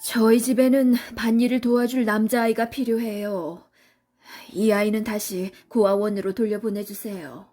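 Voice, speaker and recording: A woman's voice: fairly high pitch, steady tone, moderate pace, warm tone, soft background music, lightly acted. A woman voicing a stern but kind-hearted farm woman in a children's storybook, firm and proper in manner. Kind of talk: children's storybook character acting.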